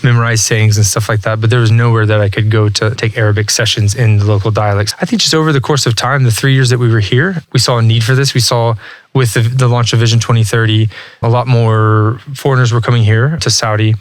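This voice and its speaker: A man's voice: medium pitch, fast. A man in his thirties from Texas, a podcast guest talking casually and thoughtfully, often restarting his sentences. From Texas, USA. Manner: relaxed, reflective, many restarts and "like". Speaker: guest, language learning entrepreneur. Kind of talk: casual interview talk.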